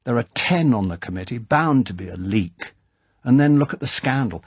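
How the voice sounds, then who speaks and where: A man's voice: mid-range voice, animated, normal speed, breathy edge, dry recording. A man voicing an indignant older club chairman who bursts out in angry, worried exclamations. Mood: anxious. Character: blustering older male club chairman, easily angered.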